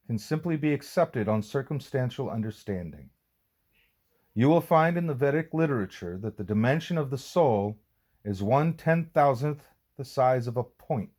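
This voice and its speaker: Measured male reader of spiritual writings. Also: mid-range voice, a little mellow. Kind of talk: read-aloud audiobook narration of a formal religious letter.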